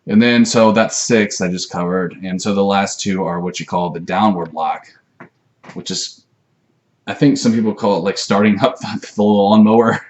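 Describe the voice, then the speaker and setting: A man's voice: lowish voice, moderate pace, a little mellow. A man giving a rambling, informal tutorial to camera in a hesitant, self-deprecating way. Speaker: amateur martial arts video maker. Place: messy room at home.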